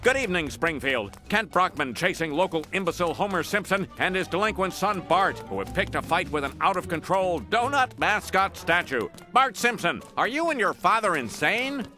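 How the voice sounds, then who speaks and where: An actor playing a smug, self-important middle-aged TV news anchor in a video game. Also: male voice, high voice, lively intonation, normal speed, few pauses, over music. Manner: smooth, self-important newsreader delivery. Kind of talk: mock news broadcast.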